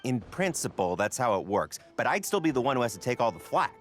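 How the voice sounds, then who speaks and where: An actor playing a cautious, grumbling small-time male merchant in a video game, colloquial and animated. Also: mid-range voice, fast talker, soft background music, lightly acted. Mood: defensive, grumbling. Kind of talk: video game character dialogue.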